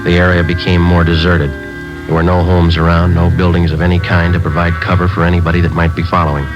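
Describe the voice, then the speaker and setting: An actor playing a tough undercover cop posing as a wary drug buyer, terse and clipped, in a 1950s radio crime drama. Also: male voice, low voice, completely flat delivery, fairly quick, lo-fi sound, over music, lightly acted. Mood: terse, matter-of-fact. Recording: old 1950s radio broadcast recording.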